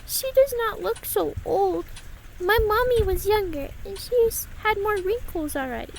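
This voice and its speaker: A very high, small voice of a timid little girl, grieving and trusting. She speaks slowly and haltingly, in simple childlike phrases, as in an audio drama. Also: lively intonation, vocal fry, slight background noise, heavily acted, poor audio. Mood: wistful, grieving. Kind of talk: fan audio drama acting.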